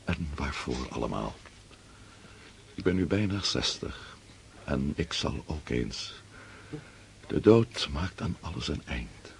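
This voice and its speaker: An actor playing a pompous, world-weary Russian prince of nearly sixty in a radio drama. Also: male voice, very deep voice, sing-song, takes it slowly, creaky, long pauses, a little hiss or hum, exaggerated voice. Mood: weary, mournful. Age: 50s.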